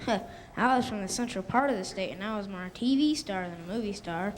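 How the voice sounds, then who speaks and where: A woman's voice: medium pitch, fast, natural voice. A female performer playing a modest, self-deprecating male television star in a scripted school radio show. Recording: echoey hall, faint noise.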